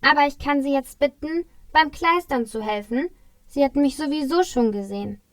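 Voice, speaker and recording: A woman's voice: high voice, lively intonation, moderate pace, a bit bright, no room sound. A woman playing an earnest, brave male Christmas elf in a children's audio play. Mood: hesitant.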